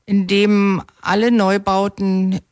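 A woman from Berlin speaking as a candidate in a radio interview. Her voice has a middle pitch and is recorded close and dry, with a conversational political delivery. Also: completely flat delivery, slow pace, smooth, no room sound. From Berlin, Germany. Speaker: mayoral candidate being interviewed.

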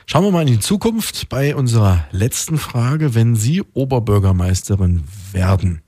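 A male radio interviewer with a soft, mellow tone and a slightly husky grain. He talks conversationally as host, in a close, dry studio sound. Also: medium pitch, animated, normal speed, no echo.

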